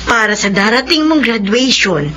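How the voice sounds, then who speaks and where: An actress playing a kindly, excitable Filipina canteen woman in a radio drama. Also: on the high side, moderate pace, a little hiss or hum. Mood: excited, teasing.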